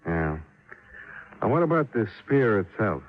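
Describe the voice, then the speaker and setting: An actor playing a terse, unflappable insurance investigator with an American accent, clipped and dry, in hard-boiled old radio style. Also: male voice, lowish voice, sing-song, measured pace. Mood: brisk, probing. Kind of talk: hard-boiled 1950s radio drama acting and narration. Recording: muffled old radio recording, music behind.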